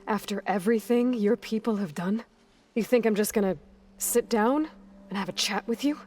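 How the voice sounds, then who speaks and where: An actress playing a wary, defiant young warrior heroine, blunt and skeptical, in a cinematic video game. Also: female voice, medium pitch, normal speed, a little mellow, lightly acted. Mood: accusing. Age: young adult.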